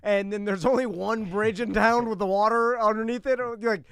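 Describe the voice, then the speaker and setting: A man with a notably high, clean voice, captured dry and close. He chats casually, wandering through his thoughts in a halting, rambling way. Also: steady tone, clear, resonant tone, dry recording. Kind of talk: casual chat.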